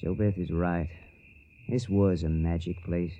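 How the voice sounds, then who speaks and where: A man playing a gentle, wistful young soldier in a radio drama, speaking in a measured, reflective way. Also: sits low, steady tone, muffled recording. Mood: wistful, resolute. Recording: noisy old radio drama recording.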